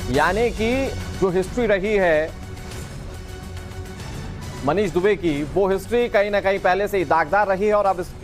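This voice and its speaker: High-voiced male news anchor. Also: unhurried, pauses often, faint music behind. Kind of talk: news presenting.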